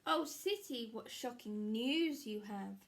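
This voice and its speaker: A woman's voice: a little high, expressive, slow, gravelly, heavily acted. A woman voicing an earnest, apologetic, wide-eyed little girl in a read-aloud storybook. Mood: wondering.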